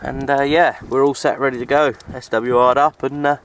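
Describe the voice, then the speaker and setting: A man with a British accent chatting casually and informally to viewers in an unhurried, easygoing way, like an outdoor hobby vlogger. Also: flat delivery. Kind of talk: casual outdoor vlog chat. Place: outdoors at a windy makeshift shelter. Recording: noisy outdoor recording with ambient rumble.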